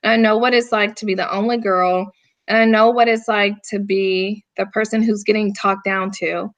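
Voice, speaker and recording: A woman's voice: mid-range voice, little pitch movement, moderate pace. Laid-back Southern woman in her thirties. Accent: Southern American. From North Carolina. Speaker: guest, firearms instructor. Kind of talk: casual interview answers.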